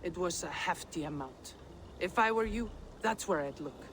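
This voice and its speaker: A woman's voice: fairly low pitch, animated, normal speed, slight background noise, natural voice. A woman playing a brazen, sly mercenary leader in a video game, speaking in a teasing, theatrical drawl. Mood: sly bargaining. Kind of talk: dramatic video game acting.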